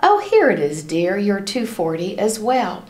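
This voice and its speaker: A woman's voice: mid-range voice, sing-song, unhurried, darkish tone. A woman voicing an eager, kindhearted second-grade schoolgirl from a storybook, with childlike exclamations. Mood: excited. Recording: roomy, echoing room sound.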